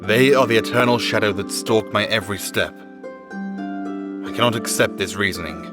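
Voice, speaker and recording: Low-voiced solemn paladin knight, acted by a man. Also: normal speed, lightly acted. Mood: grave, remorseful. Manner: formal, archaic, grave diction. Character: solemn honour-bound male paladin knight, guilt-ridden and dutiful. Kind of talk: fantasy game voice acting. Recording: music playing behind the voice.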